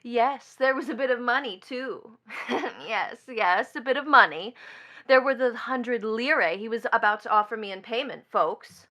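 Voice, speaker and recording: A woman's voice: fairly high pitch, measured pace, pushed and bright. A woman playing a bitter, mocking young stepdaughter in a stage drama, with a taunting, theatrical delivery. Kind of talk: theatrical stage play acting.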